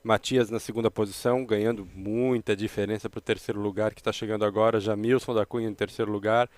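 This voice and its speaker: A man with a Brazilian Portuguese accent doing excited play-by-play commentary that rises with the race action. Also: lowish voice, very fast, no echo. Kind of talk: live sports commentary.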